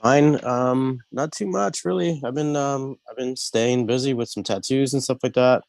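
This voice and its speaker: A man's voice: medium pitch, fairly even intonation, normal speed, creaky, a little mellow, dry recording. A man co-hosting a casual video-call chat. He is laid-back, sounds congested from a cold, and keeps saying "man" and "stuff like that". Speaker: host.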